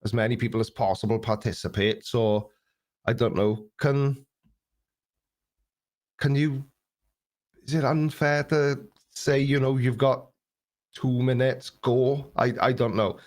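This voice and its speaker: A man's voice: medium pitch, level tone, unhurried, pauses often, a little mellow. A man in his late forties hosting a live stream, chatting loosely and joking at his own expense as he reads viewer comments, with an occasional cough. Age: 40s. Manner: chatty, jokey, self-deprecating, reads viewer comments aloud. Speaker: YouTube host. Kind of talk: live stream chat reading.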